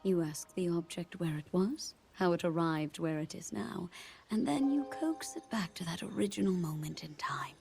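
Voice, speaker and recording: An actress playing a gentle, perceptive queen in a game cutscene, speaking in a soft, breathy near-whisper. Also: fairly low pitch, normal speed. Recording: game cutscene over music.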